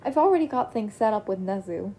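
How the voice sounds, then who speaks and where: A woman voicing a self-assured young male vigilante turned hero, talking breezily, with a mellow voice. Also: medium pitch, expressive, fairly quick, soft background music, close to an everyday voice. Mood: breezy.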